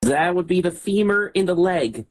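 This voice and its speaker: A man's voice: high-pitched, animated, moderate pace, no echo, clean studio sound. A man competing as a contestant on a live game show, eager and playful. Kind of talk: casual game play.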